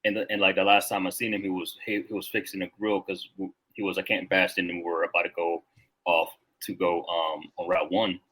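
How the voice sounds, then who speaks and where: Low husky-voiced man, casual and reflective. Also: level tone, a little fast, sharp tone, rough recording. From Rwanda. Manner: reflective, loose, many filler words. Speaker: guest, Marine veteran. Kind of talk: casual podcast conversation.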